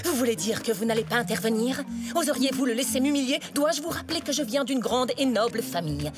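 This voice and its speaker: A woman's voice: a little high, expressive, quick pace, lightly acted. An actress voicing a haughty, ambitious noblewoman in a cartoon, with an affected, imperious manner. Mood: demanding, indignant. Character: haughty ambitious noblewoman, demanding manipulative wife. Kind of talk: animated cartoon dubbing. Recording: music behind the voice.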